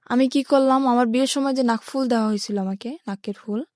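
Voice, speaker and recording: A woman's voice: a little high, fast, darkish tone, no room sound, clean studio sound. A woman from rural Bangladesh with a Bangladeshi accent, telling her life story step by step in a plain-spoken way as an interview guest. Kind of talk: personal life-story narration in a radio interview.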